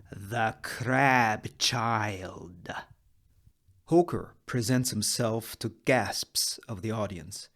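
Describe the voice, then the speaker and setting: Slow-paced man narrating a screenplay. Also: lowish voice. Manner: deliberate, clipped phrases with dramatic pauses. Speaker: narrator reading screenplay stage directions. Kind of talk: dramatic scripted narration at a table read.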